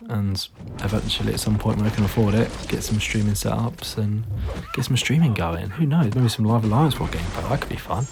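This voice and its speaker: A man with a hoarse, fading voice, giving tired, laid-back gameplay commentary full of ums. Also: fairly low pitch, brisk, hardly any pauses. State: hoarse, losing his voice; tired, yawning late at night. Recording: noisy recording with clicks.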